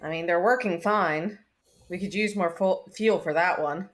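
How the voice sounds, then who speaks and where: A woman plays a practical, blunt crewman on a spaceship in a sci-fi script reading. The voice is a little low for a woman and plainly matter-of-fact. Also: normal speed, lightly acted. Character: blunt male spaceship crew member, practical. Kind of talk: scripted sci-fi table read.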